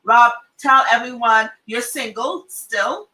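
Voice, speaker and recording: Trinidadian woman host, chatty and teasing, bright tone, echoey off-mic sound. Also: a little high, slow, far from the mic. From Trinidad and Tobago. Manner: chatty, teasing, laughs often. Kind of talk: casual panel talk.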